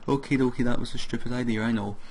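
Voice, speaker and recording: A man, a bit hoarse from a sore throat, chatting casually as he walks through each step of a flight simulator session. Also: mid-range voice, fairly even intonation, fast talker, a little mellow. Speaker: flight sim streamer. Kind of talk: casual gameplay commentary.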